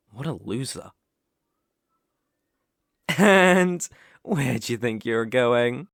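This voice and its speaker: Male actor as British college bully, ASMR roleplay, taunting, cocky, unhurried delivery, mid-pitched, dry close sound. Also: vocal fry, fairly bright tone, no echo, heavily acted. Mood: cool warning. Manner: teasing, condescending, swaggering.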